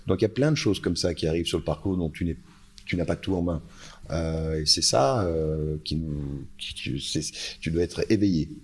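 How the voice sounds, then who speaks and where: A man's voice: rumbling low, normal speed, a little mellow, slightly rough. A man, a brand founder, speaking as a guest on a panel and often repeating words while he searches for them. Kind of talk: panel conversation. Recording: live event with a panel.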